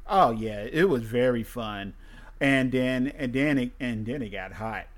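A man's voice: medium pitch, expressive, measured pace. A man hosting a podcast, chatting casually in a blunt, profane, rambling way. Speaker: podcast host. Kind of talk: casual chat.

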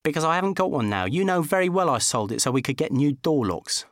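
A man's voice: on the high side, very animated, no echo, studio quality, voice pushed up. A man voicing a sulky, dim, cocky male best mate who whines and protests in comic audiobook dialogue. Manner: whining, protesting, quick to take offence.

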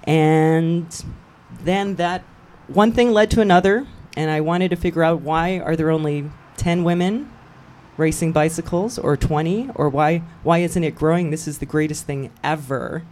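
A woman's voice: low-pitched, normal speed, darkish tone, slight background noise. A woman from Hawaii, a guest on a local radio show, telling her story in a chatty way and laughing easily. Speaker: guest, cycling event promoter. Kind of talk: casual radio chat.

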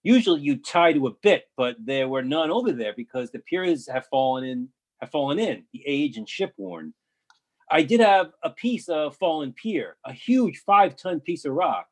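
A man's voice: on the high side, big pitch swings, normal speed. A man reading a boat owner's monologue about tying down his vessel, practical and descriptive. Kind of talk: readers theatre monologue.

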